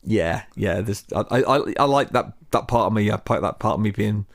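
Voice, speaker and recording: Shropshire guy w a bit of cockney twang, lowish voice, dry sound, rambles and restarts. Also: expressive, fairly quick, dry recording. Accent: Shropshire with a slight Cockney twang. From West Midlands, England. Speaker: podcast guest, DJ and producer. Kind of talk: casual chat.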